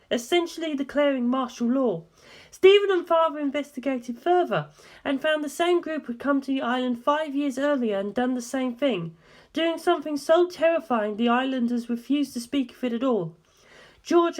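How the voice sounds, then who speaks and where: A woman's voice: high voice, expressive, normal speed. A woman voicing a talkative, assertive young woman with a teasing streak in a dramatic audiobook. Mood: insistent, knowing. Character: Darlington, sharp teasing young English woman. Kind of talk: dramatic audiobook character dialogue.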